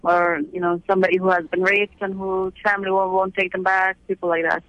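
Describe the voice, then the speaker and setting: A high-pitched man, born in Afghanistan and raised in the United States, answers radio interview questions about his aid work. He speaks earnestly in a flat, even tone and often restarts his sentences. The sound is dull and lo-fi, with a dry, close feel. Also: a little fast, no echo. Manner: earnest, explains step by step with frequent restarts. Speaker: leader of a women's aid organization. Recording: dull lo-fi audio.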